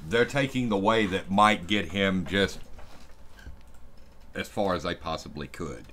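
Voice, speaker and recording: A man's voice: sits low, unhurried, fairly bright tone. A man co-hosting a live stream with casual, opinionated commentary. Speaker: co-host. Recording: live stream with clear background noise.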